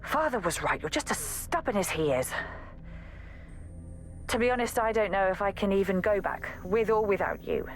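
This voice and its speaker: A woman's voice: mid-range voice, animated, moderate pace, faint music behind, lightly acted. An actress playing an exasperated, protective young woman assassin in a video game audio log, scolding and pleading emphatically. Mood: weary, pleading.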